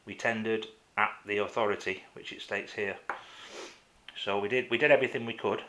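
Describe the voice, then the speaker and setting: A man with a bright, forward voice is on a phone call to an office. He presses his point insistently, in halting phrases that he often repeats. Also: lowish voice, steady tone. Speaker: caller to a council office. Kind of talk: phone conversation, making a complaint.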